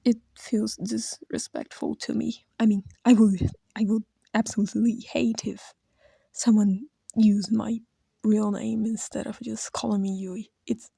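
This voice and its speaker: Slow, gravelly, tearful woman. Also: medium pitch, little pitch movement, dry recording. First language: Brazilian Portuguese. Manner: halting, hesitant, drifting into soft whispers. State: close to tears. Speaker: video creator. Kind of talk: emotional personal tribute.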